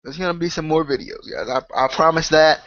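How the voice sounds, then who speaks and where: A man's voice: a little high, a little fast, fairly bright tone, no echo, muffled recording. A man with a cold hosting a casual solo podcast, nasal and congested, rambling with lots of fillers and restarts. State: stuffed up with a cold. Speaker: podcast host. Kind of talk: casual solo chat to subscribers.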